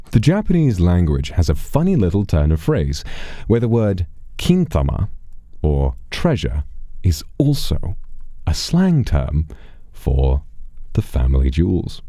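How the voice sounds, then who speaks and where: Really low voiced guy doing theatrical storytelling, slow and deliberate, swings a lot in pitch. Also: no echo, studio quality. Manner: dramatic, deliberate delivery with hushed, drawn-out phrases. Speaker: streamer telling a story in first person as his character. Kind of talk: theatrical first-person storytelling.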